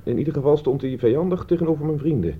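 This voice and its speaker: An actor playing a defensive thirty-year-old idler under questioning, speaking evasively in a radio play. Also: male voice, fairly high pitch, quick pace, husky. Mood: explaining, wry. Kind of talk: Dutch radio drama dialogue. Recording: dull, band-limited old radio recording.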